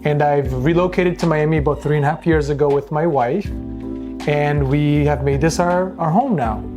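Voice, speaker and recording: A man's voice: on the high side, moderate pace. Earnest man, music under him. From Boston. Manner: earnest, conversational, with some restarts. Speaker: real estate agent, guest. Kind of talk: interview.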